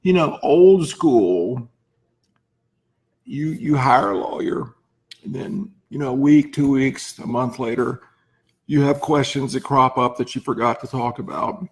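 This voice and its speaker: A man hoarse with laryngitis, speaking as a lawyer hosting an informal advice talk and often clearing his throat. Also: mid-range voice, a little slow. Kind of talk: informal explanatory talk to camera.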